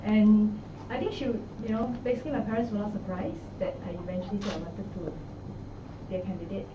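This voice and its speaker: A woman's voice: medium pitch, steady tone, fairly quick. Brief: young Singaporean woman, easygoing, echoey room heard from afar. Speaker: election candidate. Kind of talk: press conference answers. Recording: echoey room, far mic, dull noisy sound.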